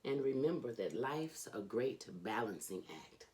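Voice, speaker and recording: A woman reading a children's storybook aloud as host, with theatrical, emphatic delivery and drawn-out phrases. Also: a bass voice, sing-song, darkish tone.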